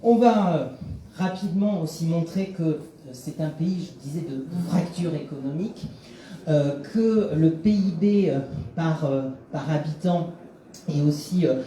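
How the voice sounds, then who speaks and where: A man's voice: a little high, warm tone. Hesitant man lecturing in an echoey room. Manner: measured, frequent hesitations. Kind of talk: public lecture. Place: conference room.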